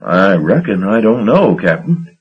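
A man's voice: on the low side, sing-song, a little slow, husky, exaggerated voice. A man voicing a flustered, gaunt young shipping agent who stammers and babbles. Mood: nervous babbling. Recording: dull lo-fi recording.

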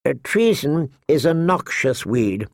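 A man's voice: medium pitch, big pitch swings, takes it slowly, vocal fry, no echo, studio quality, full character voice. A man voicing a pompous elderly court scholar who intones solemnly in a fantasy audiobook. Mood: grave.

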